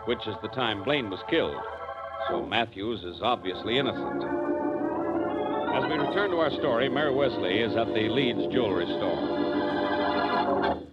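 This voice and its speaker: Animated male radio announcer over organ. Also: fairly low pitch, unhurried, pauses often. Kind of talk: scripted 1940s radio narration. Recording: muffled old radio broadcast. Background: loud organ music.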